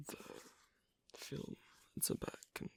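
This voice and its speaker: A performer voicing an asocial, reticent werewolf in an ASMR roleplay, speaking haltingly in a breathy, husky half-whisper. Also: darkish tone, no echo, close to an everyday voice. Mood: quietly explaining. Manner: halting, hesitant, low-key. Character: asocial, reticent young werewolf on a sewing date.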